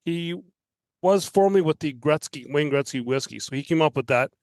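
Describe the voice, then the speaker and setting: Higher-voiced Canadian man chatting casually. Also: a little fast, darkish tone, no echo, clean studio sound. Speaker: podcast guest. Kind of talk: casual chat.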